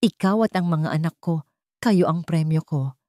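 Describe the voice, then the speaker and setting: A woman voicing a gentle working-class single father in a Filipino drama story, speaking earnestly. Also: sits low, normal speed, no echo, studio quality, natural voice. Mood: tender. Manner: earnest, heartfelt vow-making.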